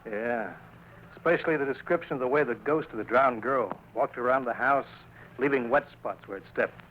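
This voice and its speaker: An actor playing a brash, wisecracking, scheming reporter with an American accent and slangy talk in a 1940s radio drama. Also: male voice, medium pitch, moderate pace, lightly acted. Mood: cocky, indignant. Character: cocky, wisecracking, scheming male newspaper reporter. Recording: muffled vintage radio recording, hiss.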